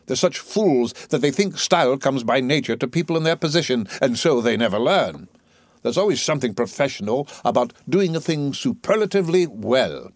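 Man voicing arrogant professor, imperious, condescending, impatient, widely swinging pitch, lifted tone, stage play, dry close sound. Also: animated, normal speed, no room sound, close to an everyday voice. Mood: smug, relieved. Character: arrogant, blustering male professor, lofty and impatient.

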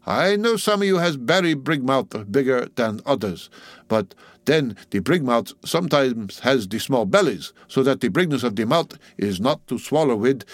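A man's voice: no room sound, close to an everyday voice. A man voicing a sulky ship's cook of about ninety, muttering testily in dialect, in an audiobook story. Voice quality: shrill when raised. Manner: muttering, testy, dialect speech.

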